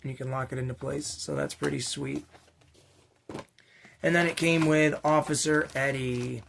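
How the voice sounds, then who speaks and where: A man with a slightly raspy voice hosting a casual, unscripted unboxing vlog for toy collectors, rambling along with slangy, enthusiastic asides. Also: on the high side, fairly even intonation, normal speed, fairly bright tone, slight background noise. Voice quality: a little raspy. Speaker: toy collecting channel host.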